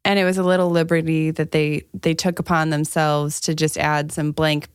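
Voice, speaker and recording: Woman podcast co-host, smooth crisp tone, casual and jokey delivery, dry close sound. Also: low-pitched, little pitch movement, moderate pace, dry recording. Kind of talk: casual chat.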